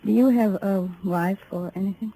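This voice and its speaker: A woman's voice: mid-range voice, moderate pace, dark tone, natural voice. An actress playing a plainspoken, earnest young frontier woman in an old radio western. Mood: wistful, pleading. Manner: plain rustic speech. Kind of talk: 1950s radio western acting. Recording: noisy old radio drama recording.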